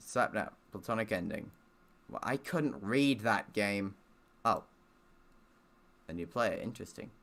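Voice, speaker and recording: Guy streaming a game, lowish bright punchy voice, jokey and sweary, reads the text out n comments. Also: animated, measured pace, vocal fry, pauses often. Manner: sweary, joking. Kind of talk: casual gaming commentary, reading visual novel text aloud.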